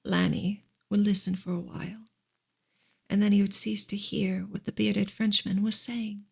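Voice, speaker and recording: A woman reads literary prose in a soft ASMR style, her voice heavy with vocal fry and breathy around the edges. The intonation stays fairly even and gentle, slipping at times toward a whisper as she narrates in measured phrases. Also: mid-range voice. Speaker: ASMR reader and channel host. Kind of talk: soft ASMR reading of a novel.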